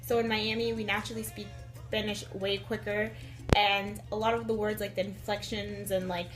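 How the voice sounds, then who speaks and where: A woman's voice: medium pitch, flat delivery, normal speed, music in the background, low-quality recording. A woman giving a thoughtful, casual interview answer, correcting herself as she goes and saying "like" often. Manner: thoughtful, self-correcting, filler like.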